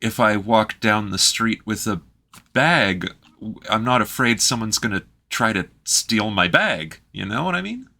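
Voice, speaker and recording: Man from Canada, bright tone, lowish pitch, measured and chatty reaction commentary. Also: expressive, gravelly. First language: English. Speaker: host reacting to a video.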